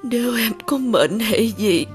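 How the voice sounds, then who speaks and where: An actress playing a frail, ailing, sorrowful mother in an animated folk tale, weak and tearful. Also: fairly high pitch, a little slow, faint music behind. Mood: weak, grieving.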